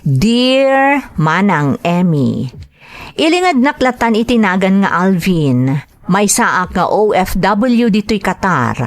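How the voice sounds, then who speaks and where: Ilocano woman host, slow and lively delivery, reads letters for drama. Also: mid-range voice. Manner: slow, expressive reading. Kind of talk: reading letters and greetings, radio drama.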